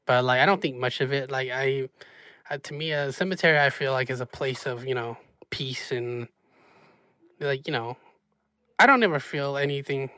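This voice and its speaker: A man's voice: medium pitch, moderate pace, pushed and bright, clean tone, no echo, clean studio sound. A man hosting a casual podcast chat, laid-back and laughing often, with lots of fillers. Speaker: podcast host.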